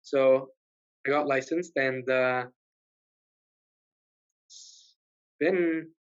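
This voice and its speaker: A man from Egypt, a guest on a video-call interview, telling his story in a relaxed way with many "um"s and "uh"s. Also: medium pitch, drawn-out words, smooth. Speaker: guest, realtor. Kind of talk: casual interview chat. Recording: dull lo-fi video call audio.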